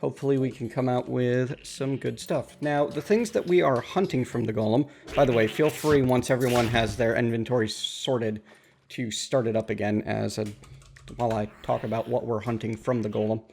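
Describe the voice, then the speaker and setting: A man's voice: mid-range voice, fairly even intonation, normal speed, gravelly, darkish tone, a little hiss or hum. A man hosting a casual multiplayer gaming chat, upbeat and explaining items to friends. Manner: upbeat, explains game items, laughs easily. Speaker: host.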